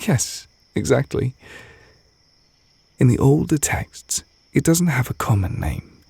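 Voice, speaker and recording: Man acting as gentle adoring wizard boyfriend, very slow, gravelly soft voice, tender, intimate ASMR roleplay. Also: medium pitch, sing-song, dry recording, heavily acted. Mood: gentle, reflective. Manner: soft-spoken, tender, unhurried, gently teasing.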